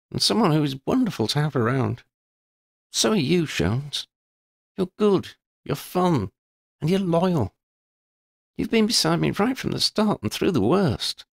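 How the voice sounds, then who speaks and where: A man's voice: on the high side, vocal fry, dry recording, studio quality, pitched above the performer's own voice, exaggerated voice. Man doing a frank, protective schoolgirl. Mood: affectionate. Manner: raised put-on voice, earnest and reassuring.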